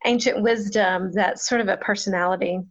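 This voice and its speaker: A woman's voice: mid-range voice, fairly even intonation, brisk. Thoughtful woman pastor, slightly muffled recording. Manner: thoughtful, laughs at herself. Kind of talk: reflective interview answer.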